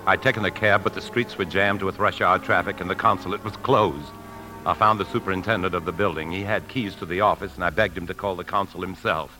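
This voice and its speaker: A man's voice: sits low, steady tone, brisk, over music, lightly acted, low-quality recording. An actor in a 1950s radio drama playing a driven, secretive husband, urgent and insistent as he speaks and narrates. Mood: tense narration. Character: tense, driven husband hiding a secret, desperate to escape.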